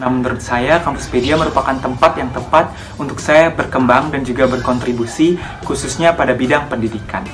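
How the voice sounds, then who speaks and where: A woman's voice: extremely low pitch, brisk, music in the background. A twenty-year-old woman, a creative writing intern, speaking in a polished, formal way. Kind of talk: formal testimonial.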